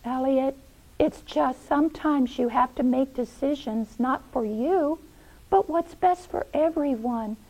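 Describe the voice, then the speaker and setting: A woman's voice: sits high, a little slow, a little mellow, voice pushed up, rough recording. A woman voicing an earnest young boy who pleads with his dragon friend, in a children's story. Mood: earnest persuading.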